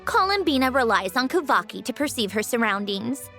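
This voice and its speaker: A cool, dry-witted schemer. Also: female voice, a little high, full character voice. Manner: measured, dry, calm. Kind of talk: video game voice acting. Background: game music.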